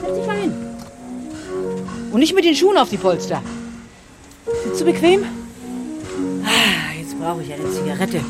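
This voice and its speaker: An actress playing a brisk, down-to-earth foster mother in a radio drama, blunt and casual in manner. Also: mid-range voice, big pitch swings, loud music behind the voice. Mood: brisk, friendly.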